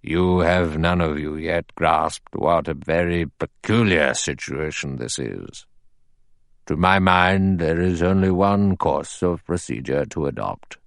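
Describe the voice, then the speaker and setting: A man voicing an elderly judge in an audiobook, cold and measured, with a thin, sour, deliberate delivery. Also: low-pitched, dry recording, exaggerated voice. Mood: cold, probing. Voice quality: small, thin, clear voice. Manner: slow, deliberate, dry and sour. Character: elderly male judge, cold, measured, acid-tongued authority.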